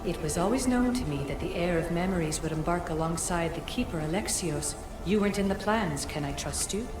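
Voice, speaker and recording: A woman voicing a composed, ancient female Isu hologram who speaks in a measured, formal way in a video game. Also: fairly low pitch, music in the background, close to an everyday voice. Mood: solemn. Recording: echoey with steady noise.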